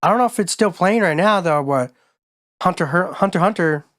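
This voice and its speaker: A man co-hosting a podcast in casual chat, his voice hoarse from being sick, talking in a laid-back, rambling, slangy way. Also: high-pitched, fairly quick, a bit bright, no echo, studio quality. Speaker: podcast co-host.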